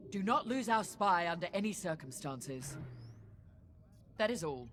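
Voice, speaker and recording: A woman's voice: fairly low pitch, animated, measured pace, a bit bright, slight background noise. A woman playing a severe, loyal Imperial officer in a video game, giving formal, authoritative orders. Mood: commanding. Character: stern, commanding female Imperial military officer, loyal and severe. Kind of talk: video game briefing, dramatic acting.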